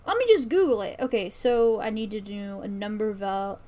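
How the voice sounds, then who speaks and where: A woman's voice: mid-range voice, sing-song, moderate pace, darkish tone. A woman livestreaming as she works, thinking aloud casually and often trailing off mid-thought. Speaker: streamer. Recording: faint background noise, keyboard typing and clicking. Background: computer keyboard typing and mouse clicks.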